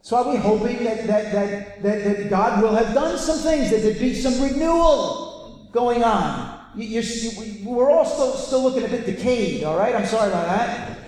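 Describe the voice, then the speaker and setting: A man's voice: very high voice, lively intonation, moderate pace, a little mellow. A man with a Welsh accent preaching a conversational sermon to a convention audience, often restarting and repeating words. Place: large hall with a live audience. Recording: echoey hall with long reverb, faint ambience.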